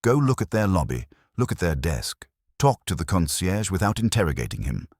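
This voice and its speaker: A man's voice: sits low, big pitch swings, moderate pace, a little mellow, breathy edge, no echo, clean studio sound, exaggerated voice. A man voicing an imperious, eccentric master detective in an audiobook, with formal, pompous, precise speech. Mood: measured, commanding. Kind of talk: acted dialogue in a detective audiobook.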